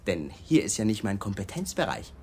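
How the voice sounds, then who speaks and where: Needling detective, restlessly swooping voice. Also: male voice, on the low side, big pitch swings, brisk, breathy edge. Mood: confident. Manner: insinuating, mock-polite, probing. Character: pushy, needling male police detective, slyly insinuating. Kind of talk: German radio crime drama acting.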